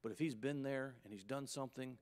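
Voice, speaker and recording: Fifties male pastor, emphatic pulpit delivery, climbs high on key points, quick asides. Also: a little mellow. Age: 50s. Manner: emphatic preaching with rising, punchy peaks and quick asides. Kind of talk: sermon to pastors.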